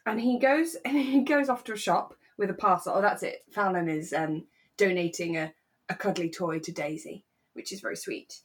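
A woman co-hosting a podcast, chatting casually and telling stories with animated asides. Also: on the low side, moderate pace. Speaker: podcast co-host. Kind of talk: relaxed casual chat recapping a radio drama.

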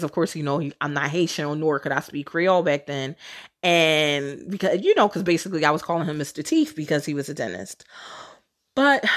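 A woman's voice: low voice, fairly bright tone. A woman podcast host telling casual personal stories on her own, in a chatty, candid, slangy way with some casual swearing. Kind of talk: casual solo storytelling.

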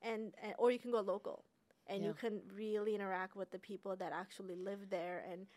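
A woman's voice: mid-range voice, flat delivery, a little fast, a little mellow. A woman from Armenia hosting a podcast, sharing personal anecdotes in a casual, reflective, conversational way. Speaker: podcast host.

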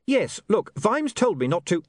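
Imagine an earnest, prim young gentleman reporter, speaking crisply and properly as a man voices him in an audiobook. His voice is lifted noticeably above a typical man's. The sound is dry and close. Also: high-pitched, sing-song, a little slow, no echo, voice pushed up, heavily acted.

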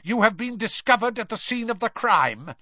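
A man's voice: high-pitched, sing-song, moderate pace, bright, forceful tone, dry recording, muffled recording, pitched above the performer's own voice, exaggerated voice. Man playing a cold, curt, furious official. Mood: shouting, accusing. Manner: clipped, sarcastic, interrogating. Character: stern, accusing, wrathful male government official.

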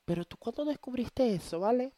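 A man's voice: extremely high pitch, animated, fairly quick, dark tone, no echo, voice pushed up. A man voicing a nosy Venezuelan woman who fires off exaggerated scolding questions. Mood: mock scolding.

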